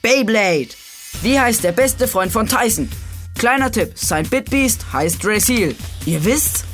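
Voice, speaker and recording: A woman's voice: a little high, lively intonation, slow pace. A woman voicing a cocky, teasing boy who hosts a children's quiz show in a breezy, slangy way. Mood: upbeat. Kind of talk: scripted children's quiz presenting. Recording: music behind the voice.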